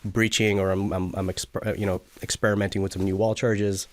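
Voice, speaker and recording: A man in his forties with a Canadian accent, explaining things in a measured, technical way in an interview. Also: fairly low pitch, little pitch movement. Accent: Canadian English. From Toronto area, Canada. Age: 40s. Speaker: podcast guest, military veteran and company CEO. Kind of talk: conversational interview answers.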